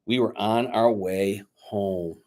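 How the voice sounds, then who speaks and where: A man reading a picture book aloud dramatically to children, pausing to coax them with questions like a teacher. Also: fairly low pitch, fairly even intonation, warm tone. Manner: dramatic, teacherly, coaxing young listeners with questions.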